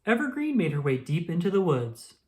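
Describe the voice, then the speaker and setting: A woman reading a children's story aloud as scripted narration, in an expressive storytelling style. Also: fairly low pitch, fairly quick.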